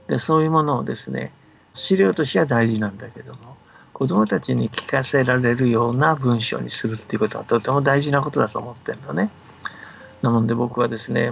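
An older man, a folklore researcher, talking in a relaxed, folksy, explanatory way with a gravelly, husky voice. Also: medium pitch, highs cut off, slight background noise. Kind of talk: relaxed explanatory radio talk.

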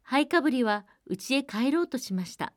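A woman telling a fairy tale as a radio storyteller, speaking in a measured and polite way. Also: high-pitched, animated, no room sound, clean studio sound. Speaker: storyteller and programme co-host.

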